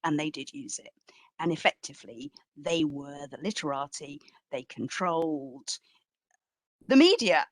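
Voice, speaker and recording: Low-pitched woman, informal guest speaker. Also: lively intonation, a little slow, no echo. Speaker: exhibition curator and guest speaker. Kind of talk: informal question-and-answer after a talk.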